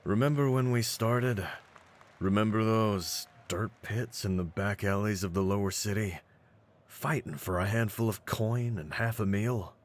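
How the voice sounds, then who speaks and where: A man voicing a cocky, brawny pit-fighting champion in a roleplay audio, with a deep voice and streetwise, mocking swagger. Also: a little slow, close to an everyday voice. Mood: fond, gritty. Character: cocky, brawny half-breed pit-fighting champion, hyping his partner. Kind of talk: scripted in-character roleplay audio.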